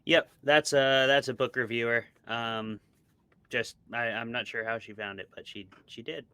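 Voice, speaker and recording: A man's voice: medium pitch, steady tone, normal speed, pushed and bright, clean tone, no room sound. A man being interviewed as an author, talking in a casual, explanatory and conversational way. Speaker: interviewed author, podcast co-host.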